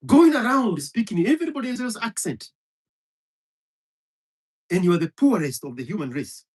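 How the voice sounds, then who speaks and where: A man's voice: high voice, sing-song, normal speed, darkish tone, husky. A man from Kenya, a Kikuyu speaker, delivering a heated, profane monologue full of hammering repetition. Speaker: commentator. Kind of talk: impassioned monologue.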